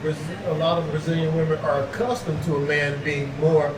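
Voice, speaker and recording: Man from the US, slightly high voice, loose rambling chat, roomy echo, noisy background. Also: fairly quick. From United States. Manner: animated storyteller, repeats fillers like "like". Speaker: interview guest. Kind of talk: casual chat. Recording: echoey room with background noise.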